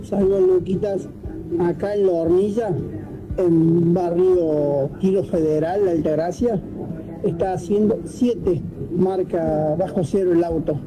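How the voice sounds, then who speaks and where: A man's voice: high voice, fairly even intonation, measured pace, a crowd in the background, low-quality recording. A man leaving a chatty voice message for a radio show. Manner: chatty, reporting the local cold. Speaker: radio listener sending a voice message.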